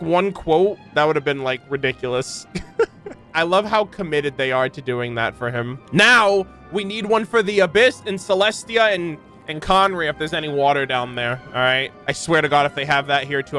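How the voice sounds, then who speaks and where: A man with a high, sharp voice reacts to a video game as host. He talks in casual slang, peppered with swearing and exclamations. Faint music plays behind him. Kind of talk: casual gaming reaction commentary.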